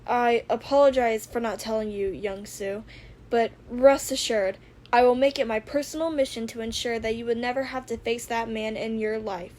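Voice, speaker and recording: A woman reads as a veteran male hero and mentor in an amateur audio story. He sounds formal, deliberate and a little sheepish, earnest in his apologies. Faint noise sits on the recording. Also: fairly high pitch, fairly even intonation, a little mellow, close to an everyday voice. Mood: solemn.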